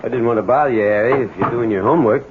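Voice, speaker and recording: A man's voice: medium pitch, racing, lightly acted. An actor playing a desperate, bitter middle-aged working man with an American accent, stammering and pressing in a 1970s radio drama. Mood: guarded probing. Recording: muffled, noisy 1970s radio recording.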